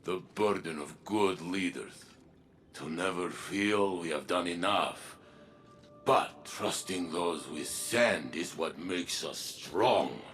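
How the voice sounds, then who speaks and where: Weary old-guard warrior: very low male voice, slow and solemn, game cutscene with music underneath. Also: fairly bright tone. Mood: solemn, encouraging. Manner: measured, solemn. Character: weary, humble veteran warrior and former commander. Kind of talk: dramatic game cutscene dialogue.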